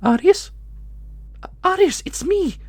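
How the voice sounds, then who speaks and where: A woman playing a commanding celestial heroine in a fantasy audio roleplay, with a breathy, creaky voice. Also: extremely high pitch, animated, slow, darkish tone, no room sound, full character voice. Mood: startled, urgent. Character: commanding celestial woman searching for her lost grandmaster sibling.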